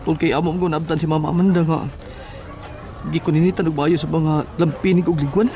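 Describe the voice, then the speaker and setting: A fairly high male voice with a Cebuano accent from a man acting. He is a talkative, spooked young fellow in a horror audio drama. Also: fairly even intonation, brisk, warm tone, slight background noise, close to an everyday voice. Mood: gossiping, uneasy.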